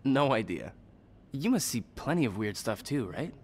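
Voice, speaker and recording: A man's voice: medium pitch, very animated, normal speed, a little hiss or hum, close to an everyday voice. A man voicing a friendly, joking young hotel worker, easily flustered, in a narrative video game. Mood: chatty, amused. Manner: informal, joking.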